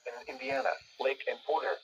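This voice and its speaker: A man's voice: medium pitch, big pitch swings, a little fast, gravelly, fairly bright tone. A man reading scripted weather bulletins and forecasts in formal, segmented phrasing over a radio broadcast. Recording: dull, noisy weather radio playback.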